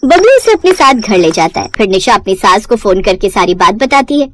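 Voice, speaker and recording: A woman's voice: medium pitch, big pitch swings, fairly quick, fairly bright tone, dry recording. A woman narrating a scripted cartoon story as its storyteller.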